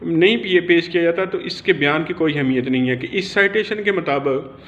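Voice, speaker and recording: Muffled lo-fi recording, man, Pakistani accent, high pitch, informal legal teaching, repetitive phrasing, English terms mixed in. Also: moderate pace, a bit bright. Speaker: law lecturer. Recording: dull, lo-fi audio.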